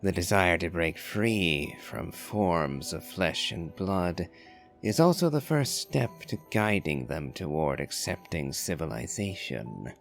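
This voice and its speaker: An actor playing a solemn, formal philosopher in a video game, speaking in a measured way. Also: male voice, low voice, very animated, creaky, soft background music, exaggerated voice. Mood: solemn, serene.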